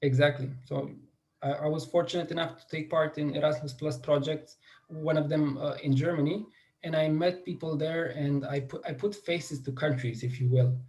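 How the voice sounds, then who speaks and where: A young man speaks in a somewhat high, mellow voice. He answers interview questions earnestly and thoughtfully, pausing on fillers and restarting his sentences. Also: fairly even intonation. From Romania. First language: Romanian. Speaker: guest.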